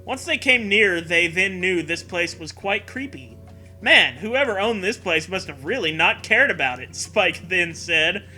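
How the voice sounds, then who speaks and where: Very high, bright, animated comic man. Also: measured pace, soft background music. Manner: profane, mock-dramatic, breaks into laughter. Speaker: co-host reading fan fiction aloud. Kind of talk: comedic read-aloud with riffing.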